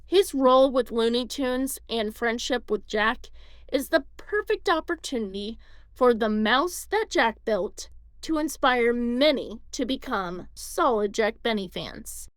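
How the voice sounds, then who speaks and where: A woman's voice: fairly high pitch, lively intonation, measured pace, dry recording. A woman reading scripted narration for a documentary.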